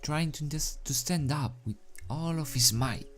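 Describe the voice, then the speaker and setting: A man's voice: a little high, a little slow. Man narrating a story over music. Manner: stumbles over words and rereads phrases. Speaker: amateur fan fiction reader. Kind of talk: dramatic scripted story narration.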